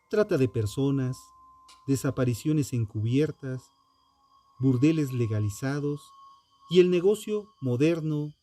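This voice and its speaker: A man narrating a scripted true-crime documentary in a solemn, dramatic manner, in short measured phrases with pauses. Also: medium pitch, warm tone.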